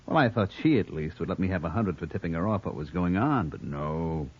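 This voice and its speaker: An American actor playing a sleazy, wisecracking private detective and blackmailer in an old radio crime drama, with a husky voice. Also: male voice, deep voice, lively intonation, fairly quick. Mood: sly, insinuating. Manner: wisecracking, insinuating slang. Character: sleazy male private detective, brazen blackmailer, needling and slangy. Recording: muffled old radio recording.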